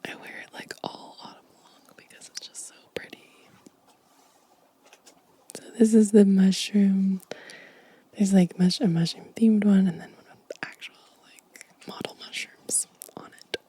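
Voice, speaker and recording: A woman whispering gently and softly in an ASMR show-and-tell style, breathy and unhurried. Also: medium pitch, steady tone. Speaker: ASMR video creator.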